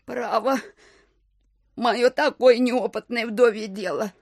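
Audio drama casting: an old provincial widow landowner, folksy, hesitant and stubborn, theatrical delivery, dry studio sound. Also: female voice, a little high, fairly even intonation, slow pace, vocal fry, dry recording, full character voice. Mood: hesitant, wary.

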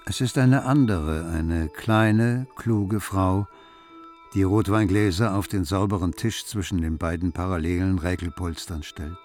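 A man's voice: low voice, unhurried, a little mellow, soft background music. A man narrating a literary radio play.

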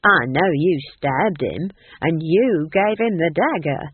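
A man's voice: on the high side, animated, takes it slowly, narrow, tinny audio, a little hiss or hum. A man voicing a stubborn, cheeky old witch in a storybook reading, with folksy, blunt speech. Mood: sly, defiant.

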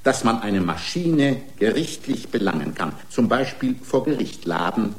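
A man's voice: on the low side, very animated, a little slow. An actor playing an indignant, argumentative racing driver who is part man, part machine, pleading his own case in a German radio play. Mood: challenging. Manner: argumentative, rhetorical, aggrieved. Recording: roomy studio courtroom sound.

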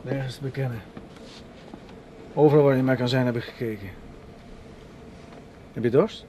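A man's voice: medium pitch, normal speed, mellow, slight background noise, natural voice. An actor playing a curt, brooding, irritable man who speaks tersely and emphatically in a Dutch radio play.

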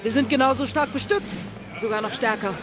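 A woman's voice: a little high, fairly quick, music in the background, close to an everyday voice. An actress playing a bold, defiant pirate woman in a German radio drama, challenging and insistent. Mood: defiant, urgent. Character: bold, defiant female pirate plotting mutiny, fearless. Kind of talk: German audio drama acting.

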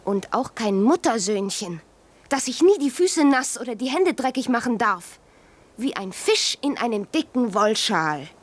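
An actress playing a proud, scornful, passionate young gentlewoman in a radio drama, with an impassioned, cutting delivery. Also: on the high side, big pitch swings, a little slow, no room sound. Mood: indignant.

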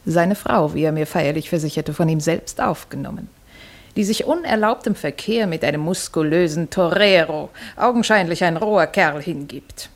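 A woman's voice: deep voice, normal speed. An actress playing a domineering, scornful aristocratic horsewoman, haughty and indignant, in a 1960s German radio drama. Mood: scornful indignation.

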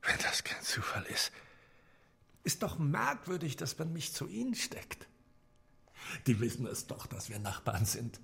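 An actor playing a frightened, naive male prisoner who pleads haltingly in a German radio play, with a raspy, breathy voice that drifts into whispers. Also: a little high, very animated, bright, forceful tone, full character voice. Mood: fearful whispering.

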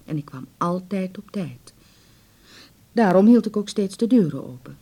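A woman's voice: medium pitch, animated, moderate pace, warm tone, slightly rough. An actress playing an anxious, devoted middle-aged mother in a naturalistic radio play, with a hesitant, sighing, pleading way of talking. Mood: earnest, tender.

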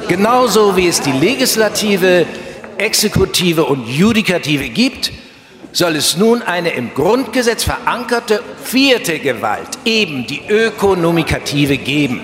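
An actor playing a grandiose banker-politician, giving a solemn, declamatory political speech in a satirical radio play. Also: male voice, high voice, big pitch swings, measured pace, crowd noise. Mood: solemn justifying. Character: grandiose male banker-politician proclaiming a new law. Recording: echoey hall with long reverb.

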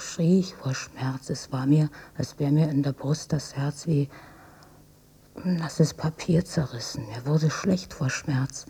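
An actress playing a frail older mother in a radio drama, weak and husky, long-suffering and self-effacing. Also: sits low, warm tone, full character voice. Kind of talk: intimate radio drama monologue and dialogue. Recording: dull cassette copy of radio play.

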